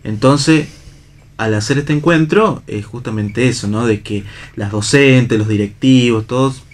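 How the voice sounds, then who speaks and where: A man with an Argentine accent talking casually as an interview guest, halting as he searches for words. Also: mid-range voice. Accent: Argentine Spanish. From La Rioja, Argentina. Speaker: theatre actor and director, interview guest.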